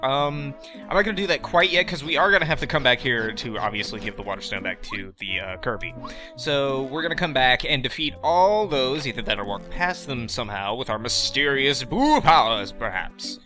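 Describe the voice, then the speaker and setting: A young man thinks aloud as he plays through a video game, joking and teasing himself, with lots of fillers and false starts. His pitch jumps around a great deal. Game music plays clearly behind his voice. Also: lively intonation, normal speed. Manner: rambling, joking, self-mocking, full of fillers. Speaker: let's play host. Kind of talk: casual gameplay commentary.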